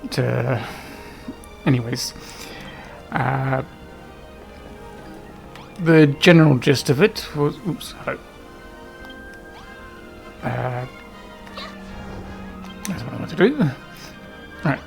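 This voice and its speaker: A man's voice: medium pitch, extremely slow, gravelly, long pauses, soft background music, poor audio. A man giving casual, unscripted gameplay commentary in a hesitant, rambling way, often trailing off. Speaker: gaming video host.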